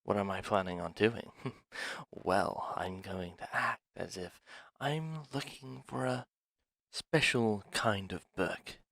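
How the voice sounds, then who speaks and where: Man voicing a slow, creaky, scheming dragon. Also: fairly low pitch, no echo, clean studio sound, full character voice. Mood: sly, scheming. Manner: hushed, deliberate, confiding. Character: sly, scheming male dragon plotting a museum heist. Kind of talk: ASMR roleplay audio drama, one side of a dialogue.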